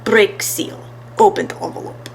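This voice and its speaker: A woman voicing a formal, deliberate male professor, a slippery showman in a children's storybook. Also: slight background noise, close to an everyday voice. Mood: commanding, deliberate. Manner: deliberate, formal, tags sentences with "yes".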